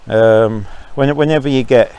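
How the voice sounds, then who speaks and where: A man's voice: medium pitch, unhurried, dark tone, clean tone, low-quality recording. A man from Zambia hosting his own podcast, giving his opinions in a rambling monologue with frequent ums and restarts while outdoors walking his dogs. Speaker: podcast host.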